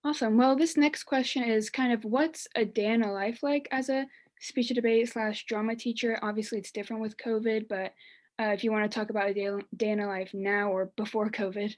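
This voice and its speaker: A woman's voice: a little high, steady tone, brisk. A woman interviewer asking questions in a friendly, brief way. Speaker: student interviewer.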